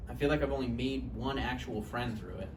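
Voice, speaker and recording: A man's voice: medium pitch, fairly quick, lightly acted. A man acting a needy, insecure young ex-boyfriend in a naturalistic play reading, awkward and conversational. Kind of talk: naturalistic stage play table read. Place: live table read in a room. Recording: distant mic, echoey room, noisy.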